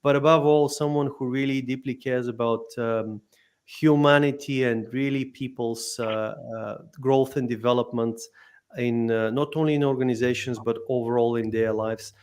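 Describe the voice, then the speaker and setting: Male podcast host, halting delivery full of ums and restarts, mid-pitched. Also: steady tone, a little mellow, clear, resonant tone. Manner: hesitant, many fillers and restarts. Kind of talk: interview podcast.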